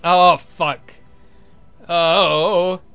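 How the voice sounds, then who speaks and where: A woman's voice: lowish voice, fairly even intonation, extremely slow, a bit bright, clean tone, no echo, soft background music. A woman hosting a let's play, reading a visual novel aloud with irreverent, sweary casual asides.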